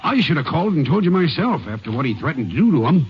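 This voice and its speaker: An actor playing an insistent, defensive Texas farmer questioned as a witness, excitable and emphatic, in an old-time radio drama. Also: male voice, a little high, quick pace, faint music behind. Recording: muffled old radio recording.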